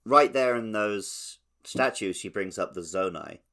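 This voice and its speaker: A man's voice: lowish voice, expressive, measured pace, a bit bright. A man co-hosting a gaming podcast, talking casually and conversationally with the others. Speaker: podcast co-host.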